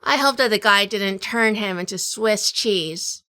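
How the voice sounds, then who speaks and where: A woman playing a wry, tough female sleuth in a hardboiled noir audio drama, telling it in measured, dramatic fashion. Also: medium pitch, sharp tone, dry recording. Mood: tense. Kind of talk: first-person noir audio drama narration.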